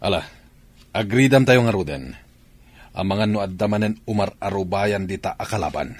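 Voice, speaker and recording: A man acting as a stern soldier in an Ilocano radio drama, speaking with an Ilocano accent. His fairly low voice rises and falls dramatically as he broods and gives orders. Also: lively intonation, normal speed, no room sound. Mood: stern, commanding.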